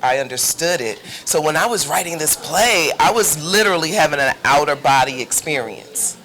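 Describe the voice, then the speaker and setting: A woman telling personal stories as an interview guest, with halting, repetitive phrasing. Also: low-pitched, animated, a bit bright, a little hiss or hum, low-quality recording.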